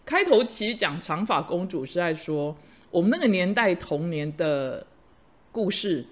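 A middle-aged woman, a host and teacher, chatting casually and telling lively stories with frequent laughter. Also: deep voice. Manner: animated storyteller, laughs often. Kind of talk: casual chat.

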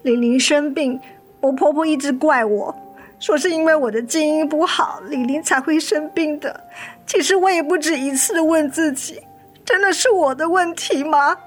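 Casting: devoted, heartsick mother played by an actress, high plaintive voice, emotional radio drama delivery, music underneath. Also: moderate pace, lightly acted. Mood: sorrowful. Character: anguished, devoted young mother of sick child. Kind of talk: Mandarin radio drama acting.

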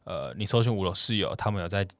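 A man's voice: low-pitched, lively intonation, fast talker, dry recording. A man with a Taiwanese accent talking casually as a podcast co-host. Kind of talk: casual chat.

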